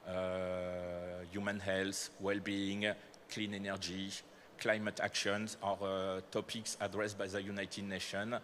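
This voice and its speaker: Man, French accent, lowish voice, conference talk, hesitant with fillers, faint room ambience. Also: completely flat delivery, slow pace, a bit bright, slight background noise. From France. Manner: hesitant, many fillers and self-corrections. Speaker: corporate speaker presenting startup programs. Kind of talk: conference presentation. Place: conference hall.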